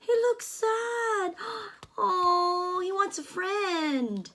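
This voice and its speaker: Woman storyteller, high, very lively, very slow, gasping, coaxing a child. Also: a little hiss or hum. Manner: animated, gasping and gently coaxing. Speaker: read-aloud storyteller. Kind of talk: reading a picture book to a child.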